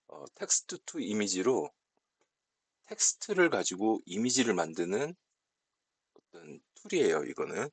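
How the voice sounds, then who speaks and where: A man explaining software settings step by step in an unscripted tutorial, speaking politely as an instructor. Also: on the low side, vocal fry, slightly rough, no echo.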